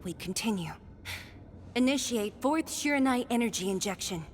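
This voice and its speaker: Woman acting as lead scientist in a game, slightly high, composed, firm orders, long reverb. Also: expressive, normal speed, close to an everyday voice. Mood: focused, commanding. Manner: firm, clipped instructions. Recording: long hall reverb, faint lab noise.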